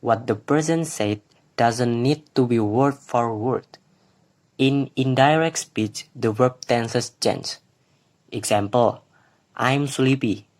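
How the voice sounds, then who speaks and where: A man's voice: medium pitch, takes it slowly, darkish tone. Young Indonesian man presenting slides. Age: young adult. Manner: plain reading, with hesitant fillers when explaining. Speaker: student presenter.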